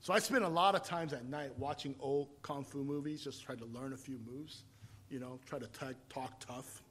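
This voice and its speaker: A man in his fifties, from Taiwan, preaching a sermon and telling his own story, rising to loud emphatic points and falling back to quiet, reflective storytelling. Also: medium pitch, moderate pace, breathy edge. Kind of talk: sermon with personal testimony.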